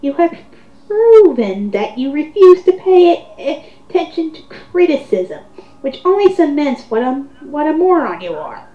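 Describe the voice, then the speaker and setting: A woman's voice: sits high, big pitch swings, a little slow. A woman reading online comments aloud in a sarcastic, theatrical way, with mocking commentary. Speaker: host of a dramatic reading. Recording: roomy, muffled home recording.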